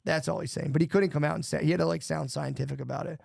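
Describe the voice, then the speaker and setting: Gravelly casual man rambling on a podcast. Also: fairly high pitch, fairly even intonation, rapid-fire, darkish tone, no room sound. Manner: rambling, swears a lot, says "dude" often. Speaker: podcast co-host.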